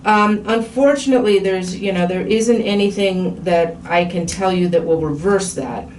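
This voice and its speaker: A woman podiatrist talking frankly with a patient in a measured, plain-spoken way. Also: mid-range voice, darkish tone, distant mic. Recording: echoey room, off mic, faint noise.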